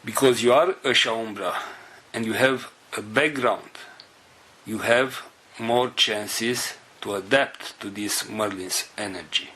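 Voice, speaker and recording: A man whose first language is Romanian, leading a spiritual group and giving an unscripted talk in slow, halting fragments. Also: lowish voice, pushed and bright, slight background noise.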